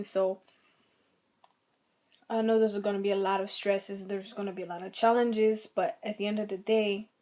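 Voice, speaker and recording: A young woman with a slightly raspy throat, giving earnest, rambling commentary on her own vlog channel. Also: medium pitch, a little mellow. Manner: earnest, rambling, many fillers. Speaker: vlogger.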